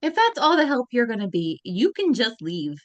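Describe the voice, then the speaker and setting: A woman's voice: fairly high pitch, huge pitch swings, normal speed. A woman voicing a determined, impatient teenage girl who answers sharply. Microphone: video call.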